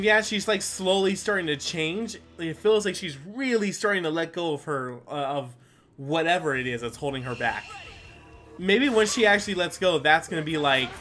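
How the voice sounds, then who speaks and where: A man's voice: fairly high pitch, animated, normal speed, sharp tone, soft background music. A man giving casual let's play commentary as a gaming video host, rambling and thinking aloud.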